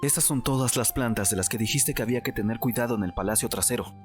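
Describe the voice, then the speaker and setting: A man's voice: mid-range voice, quick pace, lightly acted. A man playing a puzzled young noble prince in an audiobook, questioning and bewildered. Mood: alarmed. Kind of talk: audiobook character dialogue. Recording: music under the voice.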